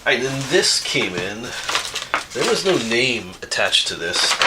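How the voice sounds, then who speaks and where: A man's voice: medium pitch, very animated, takes it slowly, heavy background noise. A man, sniffly from a cold, chatting casually and rambling as he opens viewer mail at home on camera, laughing often. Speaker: outdoors YouTuber reading viewer mail. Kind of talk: casual chat. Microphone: camera mic.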